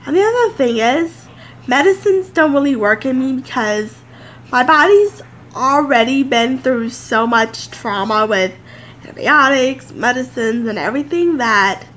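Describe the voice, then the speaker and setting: A woman's voice: high voice, a bit bright, slight background noise, low-quality recording. Chatty congested woman in her thirties. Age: 30s. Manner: rambling, chatty, many fillers. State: congested, nasal. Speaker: vlogger. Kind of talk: casual sit-down chat to camera. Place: home office, indoors.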